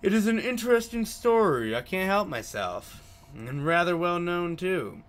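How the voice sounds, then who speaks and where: A man's voice: high voice, very animated, measured pace. A man reading aloud a polite, curious male novelist, the protagonist of a visual novel, in a courteous and inquisitive way. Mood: intrigued.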